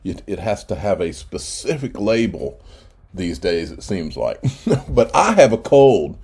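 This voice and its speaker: A man's voice: on the low side, sing-song, measured pace, darkish tone, husky. A congested man with a cold, hosting his own channel in rambling, chatty commentary. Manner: rambling, chatty, restarts often. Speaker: crypto channel host. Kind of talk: casual commentary.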